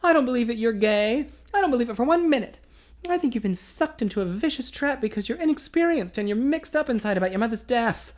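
A man voicing a pleading, bold young woman in a read-aloud romance novel, in a high, breathy voice. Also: animated, fast talker. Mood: defiant.

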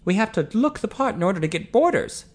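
A narrator voicing a soft-spoken young woman, sympathetic, teasing and protective, in a story reading. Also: mid-range voice, very animated, fast talker, voice pushed up. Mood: earnest.

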